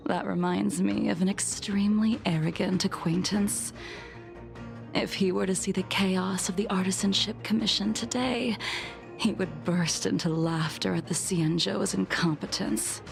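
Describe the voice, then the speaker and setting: A haughty game swordswoman with a slow, creaky delivery. She sounds calm and faintly mocking, with soft music behind her. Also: medium pitch. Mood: cool, disdainful. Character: calm, haughty, battle-loving swordswoman, taunting and unhurried. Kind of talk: scripted video game dialogue.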